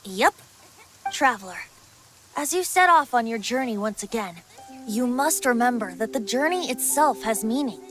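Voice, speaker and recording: Boyish, whimsical young wind god. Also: female voice, fairly high pitch, lively intonation, fairly bright tone, faint music behind. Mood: gently wise. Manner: wistful, playful, measured storytelling. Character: youthful male wind god bard, whimsical, gently wise. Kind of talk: video game voice acting.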